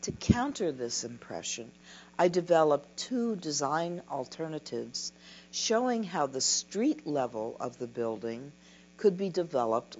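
Woman, older, keynote lecture, slow measured delivery, podium mic in a dim hall, lo-fi hum. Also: deep voice, darkish tone. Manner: slow, measured reading of a prepared talk. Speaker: keynote speaker. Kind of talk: scripted conference lecture. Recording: dull lo-fi recording with mains hum.

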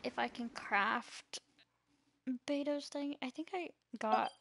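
A woman's voice: high-pitched, takes it slowly, creaky, fairly bright tone, slight background noise. A woman casually commentating her live gameplay, thinking aloud in short, sparse remarks. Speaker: live game streamer. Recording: game music behind. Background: game music.

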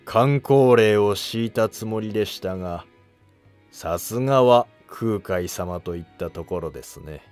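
A man voicing a wily court minister, formal, measured and evasive, in a historical drama animation. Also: lowish voice, a little mellow, faint music behind, natural voice. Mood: guarded, wily.

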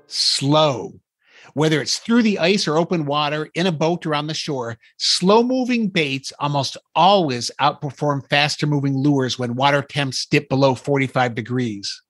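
A man's voice: a little high, normal speed, a bit bright, dry recording. A man hosting a podcast, delivering scripted fishing tips within a comic skit. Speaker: podcast host.

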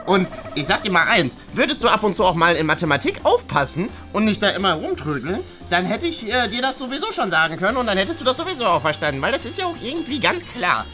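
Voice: medium pitch, sing-song, fast, hardly any pauses, pushed and bright, faint music behind. A performer playing a nerdy, proud schoolboy who lectures and stammers like a defensive know-it-all in children's role-play comedy. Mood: smug lecturing.

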